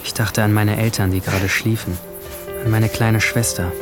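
A man playing a brooding, alienated seventeen-year-old who narrates his guilt in a hushed, introspective radio drama style. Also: lowish voice, flat delivery, normal speed, over loud music, lightly acted. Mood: tense, detached. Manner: hushed, introspective, at times whispering.

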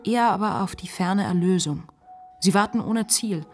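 A woman performs literary narration for a radio drama in a medium-pitched voice. The narrator is sober, reflective and articulate, with soft music underneath. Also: lightly acted. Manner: measured, articulate. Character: sober, reflective narrator recalling the psychiatric ward.

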